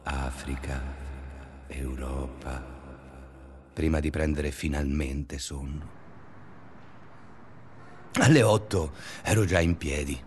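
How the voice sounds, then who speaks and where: An actor playing an obsessive, restless male schoolteacher in a confessional radio drama monologue, speaking deliberately in short phrases. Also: a bass voice, breathy edge, full character voice. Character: obsessive, restless male schoolteacher narrating his sleepless days.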